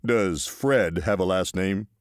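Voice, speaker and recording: A man voicing a stern male police officer who questions in a flat, authoritative way. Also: low-pitched, slow pace, smooth, no echo, studio quality.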